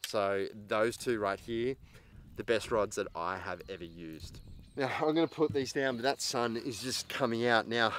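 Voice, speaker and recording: A man's voice: mid-range voice, moderate pace, fairly bright tone. A man in his thirties giving a casual, blunt and opinionated product review to camera as a vlog host. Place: outdoors on a parked boat in a grassy yard.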